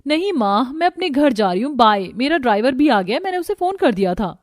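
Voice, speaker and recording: A woman's voice: high voice, very animated, brisk, no room sound, studio quality. A woman voicing a spoiled, snappy young married daughter in a cartoon story, petulant and scolding. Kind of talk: Hindi cartoon story dialogue.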